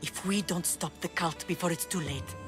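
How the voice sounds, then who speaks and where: A woman's voice: fairly low pitch, moderate pace, over music, close to an everyday voice. A woman voicing a dignified, earnest, middle-aged Spartan mother and mentor in dramatic video game acting. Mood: urgent resolve. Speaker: actor voicing a game character.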